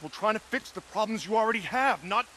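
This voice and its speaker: A man's voice: high voice, animated, brisk, bright, forceful tone, a little hiss or hum. An actor playing a responsible, exasperated young policeman and protective brother, urgent and scolding, in a video game cutscene. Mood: worried, urgent.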